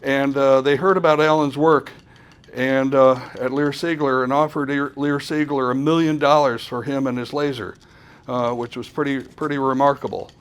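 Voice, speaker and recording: Halting man giving an informal technical talk. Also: medium pitch, fairly even intonation, clear, resonant tone. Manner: halting, hesitant, often repeating words. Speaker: conference presenter.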